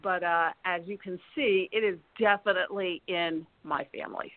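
A woman in her sixties telling personal stories as a podcast guest, speaking haltingly with frequent pauses mid-sentence. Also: on the low side, steady tone, dry recording, phone-quality sound. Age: 60s. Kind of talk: personal storytelling in conversation.

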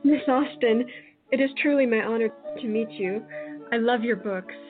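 A woman's voice: a little high, moderate pace, narrow, tinny audio, soft background music, lightly acted. A woman playing an earnest, eager young American in a radio play. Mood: awed.

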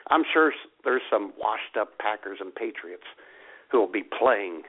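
An American man bantering casually as a talk show co-host, gravelly and wry. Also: on the low side, expressive, moderate pace. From United States. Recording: thin phone-like radio audio.

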